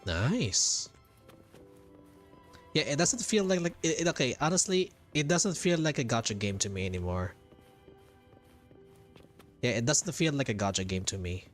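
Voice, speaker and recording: A man's voice: mid-range voice, lively intonation, moderate pace, long pauses. A man giving casual live commentary on a video game playthrough, thinking aloud hesitantly and repeating himself. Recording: game music behind the voice. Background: video game music.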